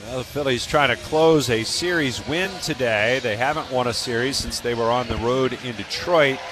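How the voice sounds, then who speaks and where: An American man does live baseball play-by-play for radio. His pitch sits in the middle range, and a light layer of stadium noise runs behind him. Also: normal speed. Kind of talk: live sports commentary.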